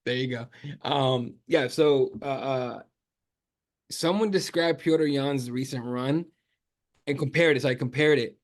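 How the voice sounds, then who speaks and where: Animated man chatting sports, fillers galore. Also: mid-range voice, unhurried. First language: not English. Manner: animated, lots of fillers and restarts. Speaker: MMA journalist guest. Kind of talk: casual sports podcast chat.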